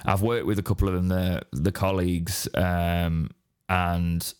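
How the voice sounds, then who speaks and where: An English man with a deep, raspy, hoarse voice from straining it, rambling in casual podcast chat. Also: flat delivery, measured pace, a little mellow. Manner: rambling, restarts mid-sentence. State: hoarse after straining his voice. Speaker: podcast co-host.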